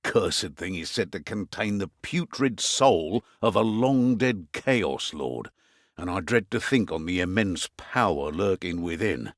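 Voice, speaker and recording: Wry mercenary quartermaster, video game briefing, gruff and commanding, widely swinging intonation, earthy dark humour, dry close sound. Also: male voice, sits low, lively intonation, measured pace, no echo. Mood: grim, wry.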